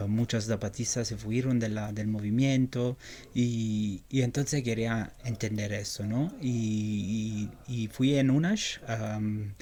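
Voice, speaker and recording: A young Italian man with an Italian accent speaking non-native Spanish in an interview, pausing often and repeating words as he searches for them. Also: lowish voice, a little mellow. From Italy. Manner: halting, many repeated words and fillers. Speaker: interview guest, graduate researcher. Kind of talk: casual interview answers.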